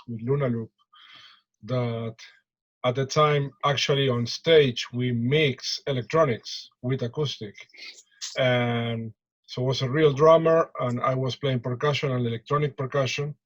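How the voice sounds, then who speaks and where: Husky Argentine man with muffled sound. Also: mid-range voice. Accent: Argentine Spanish. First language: Spanish. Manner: halting, repeats words, non-native English phrasing. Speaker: musician, percussionist and drummer interviewed. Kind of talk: casual conversational interview.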